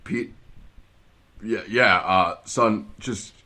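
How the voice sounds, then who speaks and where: A man doing a gruff mock-Scottish dad. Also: lowish voice, drawn-out words, pushed and bright, full character voice. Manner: stumbling mock Scottish dialect. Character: gruff middle-aged Scottish father.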